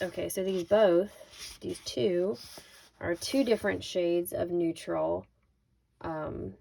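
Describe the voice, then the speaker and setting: A woman with a slightly raspy voice hosting a casual craft unboxing, chatting informally and thinking aloud as she shows each item. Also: mid-range voice. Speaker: craft video host. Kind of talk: casual unboxing product walkthrough. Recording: noisy, with crinkling handling sounds.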